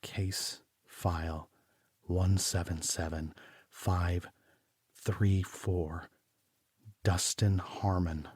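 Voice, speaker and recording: An actor playing a troubled homicide detective, narrating his case in a deliberate, brooding, literary style for an audio drama. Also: low-pitched, fairly even intonation, darkish tone, breathy edge, dry recording, studio quality, full character voice. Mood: brooding, uneasy. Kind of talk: scripted first-person noir audio drama narration.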